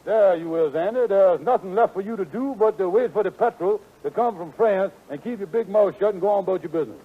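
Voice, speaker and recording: A man's voice: sits high, quick pace, slightly rough. An actor playing a blustery small-time con man in a 1940s radio comedy, folksy and cajoling. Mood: wheedling. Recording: tinny, narrow 1940s radio recording.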